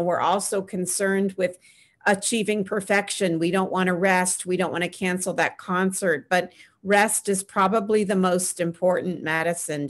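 A middle-aged woman on a video call panel, giving earnest practical advice and often pausing with "um". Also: fairly low pitch. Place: small home room with framed pictures. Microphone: webcam on a video call.

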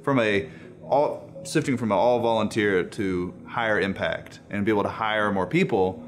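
A man's voice: lowish voice, normal speed. A man in his thirties telling a story casually in a documentary interview, recorded indoors. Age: 30s. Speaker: non-profit co-founder. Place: indoor room, seated interview. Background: music.

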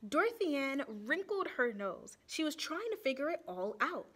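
High-pitched, animated woman reading to children. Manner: animated, deliberate, with pauses to ask and explain. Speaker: storytime reader for children. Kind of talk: expressive read-aloud of a children's picture book. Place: small indoor room with bulletin board.

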